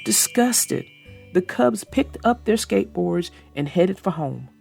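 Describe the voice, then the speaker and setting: Female storybook narrator; unhurried, very animated, slight creak, soft music underneath. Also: mid-range voice. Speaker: children's book narrator. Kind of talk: expressive storybook read-aloud.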